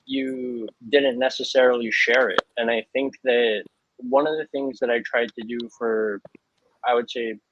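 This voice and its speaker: A man's voice: mid-range voice, level tone, unhurried, fairly bright tone, dry recording. Man from Hawaii, thoughtful panel guest, lo-fi dry call audio. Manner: earnest, thoughtful, with frequent fillers. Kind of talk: reflective panel talk. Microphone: video call. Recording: dull lo-fi, dry sound.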